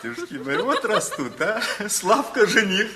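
An actor playing a harried, good-natured factory official nearing fifty in a radio play, with a folksy, philosophizing manner. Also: male voice, sits high, big pitch swings, a little slow, heavy background noise. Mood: amused. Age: middle-aged.

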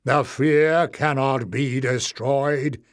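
A man voicing an angry, suspicious and stubborn male leader of an underground folk in a storybook, sneering and forceful in manner. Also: mid-range voice, steady tone, extremely slow, no room sound, studio quality. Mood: grim, unyielding. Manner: sneering, shouting in anger.

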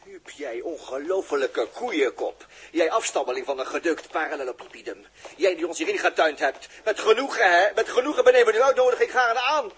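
A hot-tempered crewman from a 1960s radio play. His high voice is very rough, and he blusters emphatically with biting sarcasm. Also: animated, a little fast, a bit bright, exaggerated voice. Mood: sarcastic fury. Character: hot-tempered space crewman with flowery insults. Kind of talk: 1960s radio drama acting.

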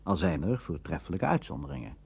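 A man's voice: on the low side, sing-song, quick pace, gravelly, breathy edge. A man narrating a radio documentary from a script, as its presenter.

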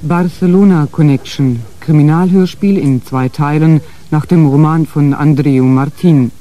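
A man's voice: on the high side, a little slow, dark tone. A man announcing the title and credits of a radio play in a scripted style.

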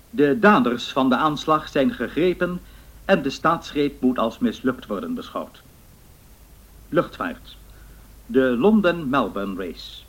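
An actor playing a formal, measured 1930s radio newsreader reading scripted bulletins in a radio drama. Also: male voice, medium pitch.

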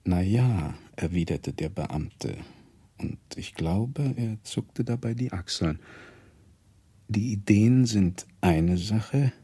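An actor playing a learned male professor who narrates a memory drily and in measured phrases for a radio drama, with a husky, creaky voice. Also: fairly low pitch, expressive, dark tone, exaggerated voice. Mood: dry, reminiscing. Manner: measured, dry, quoting others as he goes.

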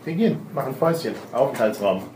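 A man's voice: mid-range voice, lively intonation, fast. A man playing a tabletop role-playing game, chatting casually at the table. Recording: distant mic, roomy echo, loud noise.

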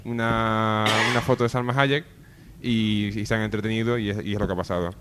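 A man's voice: lowish voice, steady tone, fairly quick, background noise. A man hosting a radio show, bantering in an improvised absurd comedy style. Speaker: radio host.

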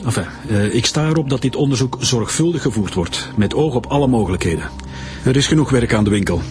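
A man's voice: mid-range voice, lively intonation, fast talker, close to an everyday voice. A man playing a stern, insistent police chief in a radio drama, speaking formally with a Flemish accent. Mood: grave, insistent. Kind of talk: radio drama acting in Dutch. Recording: music behind the voice.

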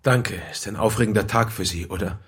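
Gravelly, persistent police detective. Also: male voice, on the low side, a little fast, a bit bright, heavily acted. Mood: polite, probing. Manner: polite but insistent. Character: calm, persistent male police detective questioning a householder. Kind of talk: radio play acting.

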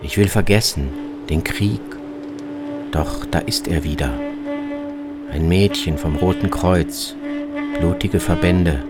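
Tiefer männlicher Radioerzähler. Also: male voice, low-pitched, wildly swooping pitch, slow pace, long pauses, over loud music. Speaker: narrator and reporter. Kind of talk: literary scripted travel narration for radio.

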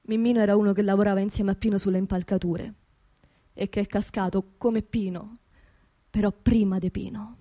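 An actress playing a grieving working-class widow in a radio theatre monologue, with a Roman accent and an intimate, halting delivery that repeats phrases. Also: female voice, mid-range voice, steady tone, mellow, natural voice. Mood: quiet, ashamed. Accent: central Italian, Roman. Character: grieving working-class widow of a construction labourer, haunted, indignant.

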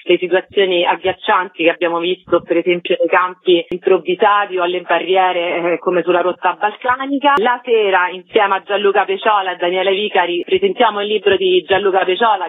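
A woman's voice: medium pitch, no room sound. Woman on a thin, dry phone line. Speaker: festival organizer, interview guest. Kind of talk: spoken event presentation in radio interview. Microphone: telephone call.

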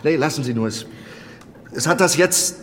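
An actor playing an obsessive, demanding man who directs others in a naturalistic radio drama, halting yet insistent. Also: a little high, lively intonation, slight background noise, lightly acted. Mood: agitated, insistent.

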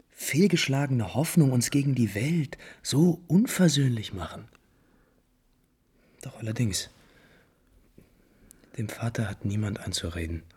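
A scheming prince of vintage radio drama, all courtly condescension. His slightly husky voice sweeps up and down through grand, declamatory phrases, sounding smooth in manner and sure of his rank. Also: mid-range voice, a little mellow, exaggerated voice. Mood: lamenting. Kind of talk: 1950s German radio drama acting. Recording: vintage 1950s radio recording.

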